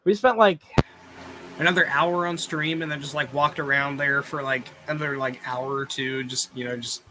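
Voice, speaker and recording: A man's voice: on the high side, moderate pace, a little hiss or hum, low-quality recording. Laid-back young-sounding male host. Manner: relaxed, laid-back, lots of "yeah" and "like". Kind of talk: casual chat.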